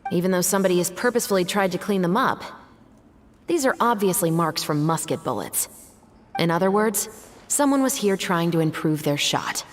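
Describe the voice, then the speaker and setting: An actress playing a composed, businesslike young guard captain in a video game, speaking in a measured, formal, matter-of-fact way. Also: female voice, fairly low pitch, lively intonation, a little hiss or hum, natural voice. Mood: analytical. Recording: faint music behind.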